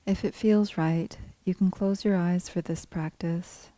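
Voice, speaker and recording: A woman guiding a body scan meditation as a teacher, speaking calmly in measured, gently spaced phrases. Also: on the low side, no echo. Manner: calm, unhurried, gently measured phrasing with pauses. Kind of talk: guided meditation, scripted instruction.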